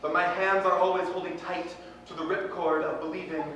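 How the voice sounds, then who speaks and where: A man performing spoken-word poetry with impassioned, deliberate, earnest phrasing. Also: sits high, level tone, fairly bright tone. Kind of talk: slam poetry recitation, dramatic and earnest. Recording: very echoey room, distant mic, faint noise.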